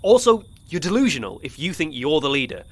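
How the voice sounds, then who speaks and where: A man's voice: fairly high pitch, big pitch swings, fairly quick. A man playing an awkward, defensive policeman on leave in an audio drama, stammering and easily flustered. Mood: flustered, indignant.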